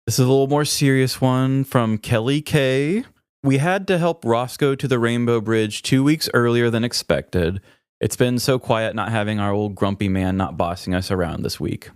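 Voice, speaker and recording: A man's voice: mid-range voice, normal speed, clear, resonant tone, dry recording, clean studio sound. A man co-hosting a podcast, chatting casually in a laid-back, joking and reassuring way. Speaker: podcast co-host.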